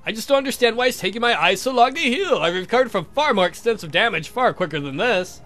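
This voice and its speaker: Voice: medium pitch, lively intonation, fast, fairly bright tone, soft background music, natural voice. A performer voicing an exasperated, short-tempered male vampire count in a visual novel, whiny and given to theatrical outbursts. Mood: indignant, frustrated.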